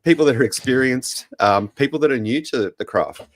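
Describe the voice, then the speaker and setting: An Australian man with a croaky voice, casually hosting a podcast. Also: medium pitch, brisk, no room sound. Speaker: podcast host.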